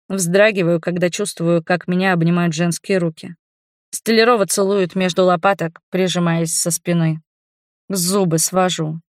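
A woman's voice: lowish voice, no echo, clean studio sound. A woman giving a dramatic first-person narration of a novel as an audiobook reader.

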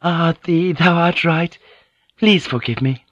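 A male narrator plays a young noblewoman of storybook fiction, devoted and proud, tender yet fiery. The voice is lifted high, with earnest, old-fashioned formal phrasing. The recording is slightly dull. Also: normal speed, no room sound, natural voice. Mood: loving. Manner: formal, archaic, earnest speech. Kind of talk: audiobook character dialogue.

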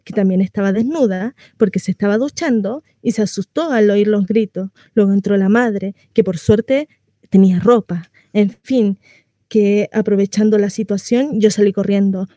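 Mellow, chatty housewife played by a woman, confiding. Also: mid-range voice, no room sound, studio quality, lightly acted. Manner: confiding, rambling, conversational storytelling with rhetorical questions. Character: housewife shut in at home, chatty, naive, confiding in a neighbour. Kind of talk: dramatic monologue on radio.

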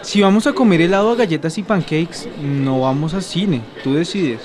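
A man's voice: fairly high pitch, moderate pace, crowd noise, natural voice. A man playing a stubborn, lovestruck, broke young man in a radio soap, talking colloquially with a Bogotá accent. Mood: coaxing. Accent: Colombian, Bogotá. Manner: colloquial, insistent. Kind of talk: amateur radio soap opera acting. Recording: clearly noisy, urban ambience.

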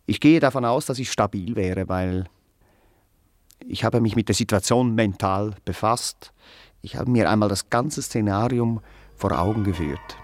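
An actor playing a pedantic, self-important musician in a radio play, wordy and hesitant, setting things out with clinical precision. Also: male voice, on the low side, a little mellow. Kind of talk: naturalistic radio play dialogue.

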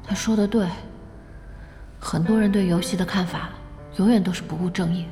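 A woman's voice: on the low side, steady tone, moderate pace, mellow, close to an everyday voice. A woman playing a concerned, sympathetic young friend in a radio drama, earnest and probing. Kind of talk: Chinese radio drama acting. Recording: prominent music behind the voice.

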